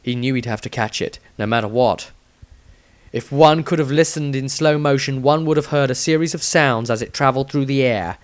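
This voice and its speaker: A man's voice: mid-range voice, normal speed, no echo. A man reading a scripted fantasy adventure aloud as a storyteller, with dramatic emphasis. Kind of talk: scripted audiobook narration.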